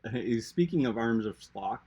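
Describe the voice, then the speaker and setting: A man's voice: mid-range voice, expressive, a little slow, mellow, husky. A man hosting an interview, asking long rambling questions full of fillers and restarts. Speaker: interview host. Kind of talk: casual interview talk.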